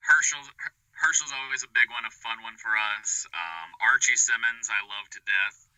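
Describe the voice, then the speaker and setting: A man's voice: medium pitch, moderate pace, bright, forceful tone, no echo, low-quality recording. A man chatting casually as a guest podcast creator in an interview. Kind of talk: casual chat.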